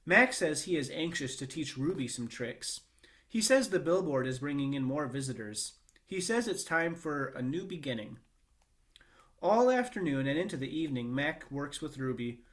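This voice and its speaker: A man giving an expressive read-aloud narration of a children's novel. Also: fairly high pitch.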